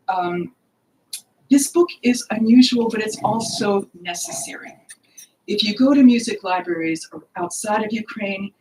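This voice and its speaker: An older woman speaks into a podium microphone in a library hall, her voice slightly gravelly. She talks earnestly about her work, stopping often to search for words. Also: mid-range voice. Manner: earnest and expressive, with frequent pauses and fillers. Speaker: author presenting her book. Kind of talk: lecture talk at a book launch. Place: library hall with bookshelves, indoors.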